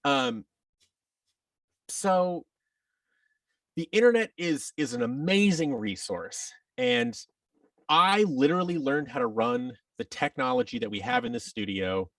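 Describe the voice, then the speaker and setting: Guy in his 30s on a podcast, rambly n opinionated, gravelly voice, bit far from the mic. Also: on the high side, huge pitch swings, measured pace, long pauses, fairly bright tone, no echo. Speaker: podcast guest, audio drama producer and actor. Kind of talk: casual interview conversation.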